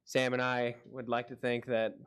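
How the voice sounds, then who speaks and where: A city staffer answers council members' questions. He sounds casual and upbeat, with a mid-pitched voice and a few fillers along the way. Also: monotone, moderate pace, a bit bright, smooth. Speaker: city department staff head.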